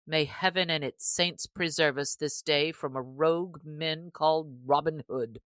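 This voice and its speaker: Woman voicing a wary, greedy middle-aged male sheriff for a storybook reading; low, dry, grumbling. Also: measured pace, a bit bright, no room sound. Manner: suspicious, grumbling, archaic speech. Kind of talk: audiobook character reading.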